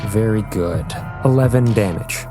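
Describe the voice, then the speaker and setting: A man's voice: lowish voice, unhurried. A man playing a tabletop game, narrating what his character does. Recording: music behind the voice. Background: music.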